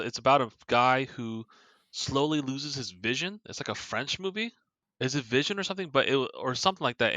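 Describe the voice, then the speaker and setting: A man's voice: mid-range voice, sharp tone, dry recording. A man co-hosting a casual movie podcast, talking in a rambling way with lots of fillers and restarts. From Korea. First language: Korean. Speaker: podcast co-host.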